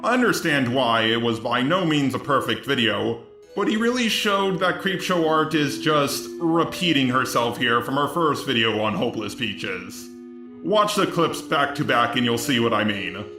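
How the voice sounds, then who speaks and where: A man's voice: medium pitch, expressive, normal speed, roomy sound. A synthetic male robot voice reading scripted video commentary as the host of a channel. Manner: mechanical, evenly scripted delivery. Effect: text-to-speech robot voice. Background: background music.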